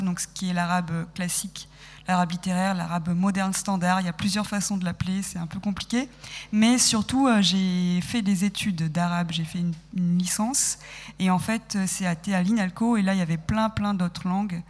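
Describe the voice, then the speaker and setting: A woman in her thirties, a radio guest, explaining her project in a thoughtful, halting way and correcting herself often. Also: lowish voice, big-room sound. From France. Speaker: guest, sound podcast maker. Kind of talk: radio interview conversation.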